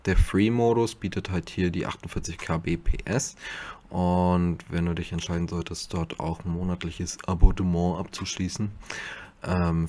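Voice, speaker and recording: A man narrating a casual software tutorial, explaining step by step in an informal, slightly hesitant way. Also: deep voice. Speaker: YouTube tutorial host.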